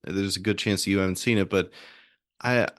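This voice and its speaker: Deep-voiced American man, rambling interviewer. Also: fairly even intonation, fairly quick, a bit bright, dry recording. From southern United States. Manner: rambling, hesitant, self-correcting; extroverted. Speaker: podcast host, music theorist. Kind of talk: conversational interviewing.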